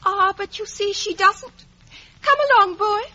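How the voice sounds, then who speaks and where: A snooty young girl with a very high, bright voice. She is scornful and clipped, with lilting swoops, on a dull old radio recording. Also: unhurried, a little hiss or hum, full character voice. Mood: haughty, teasing. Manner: haughty, clipped. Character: haughty, scornful, impertinent-hating young girl. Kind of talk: 1950s radio drama acting. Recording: dull vintage radio broadcast.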